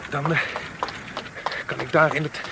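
Talkative gentleman, fairly high voice. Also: flat delivery, a little slow, gravelly. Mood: musing, dismayed. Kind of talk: Dutch radio play acting. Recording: noisy old radio play recording.

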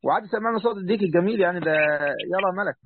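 A man with an Egyptian accent teaching a classical text in an online lesson, briskly correcting his reader's pronunciation. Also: high-pitched, a little hiss or hum. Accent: Egyptian Arabic. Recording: tinny phone-like call audio.

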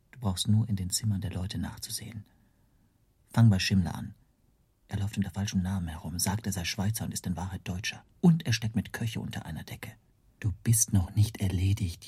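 An anxious man telling his own story in a radio play. His voice is very husky and mellow, somewhat low, often sinking toward a whisper as he broods and doubts himself. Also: no room sound. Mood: urgent self-urging. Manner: brooding, introspective, hushed. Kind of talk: first-person radio play narration.

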